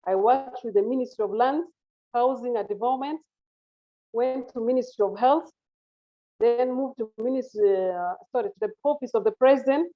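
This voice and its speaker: Ugandan woman, middle-aged, polite formal interviewee, slightly high voice, dull call audio. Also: moderate pace. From northern Uganda. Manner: formal and courteous, addresses the host as Mister. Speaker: guest, internal auditor. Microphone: video call. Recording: muffled video call audio.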